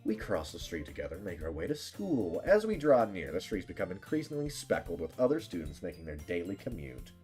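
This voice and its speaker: Low-pitched, animated game streamer. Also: a little fast, hardly any pauses, faint music behind. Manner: playful, mock-dramatic, rambling. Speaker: livestreamer. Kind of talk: casual gaming stream, reading game text aloud.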